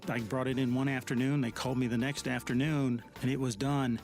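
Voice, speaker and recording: Gravelly Texan man. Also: mid-range voice, level tone, darkish tone, faint music behind. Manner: halting, with many fillers. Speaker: customer in a radio ad testimonial. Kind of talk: unscripted testimonial.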